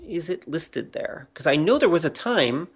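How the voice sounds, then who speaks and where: A man's voice: a little high, animated, normal speed, husky. A man co-hosting a podcast in casual chat, explaining things conversationally. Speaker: podcast co-host.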